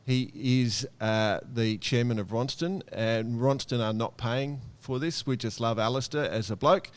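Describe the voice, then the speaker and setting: An Australian man hosts a relaxed podcast in a smooth, resonant voice. His pitch sits in the middle, and he speaks jovially, as if among friends. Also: measured pace. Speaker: podcast host.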